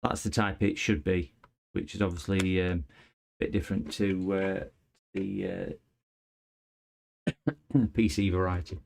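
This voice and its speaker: Man, lowish voice, easygoing repair-stream host who chats to viewers as he works. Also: little pitch movement, measured pace, creaky, pauses often, darkish tone, slight background noise. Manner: chatty, chuckling, replies to viewers while working. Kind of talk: casual live-stream chat while repairing.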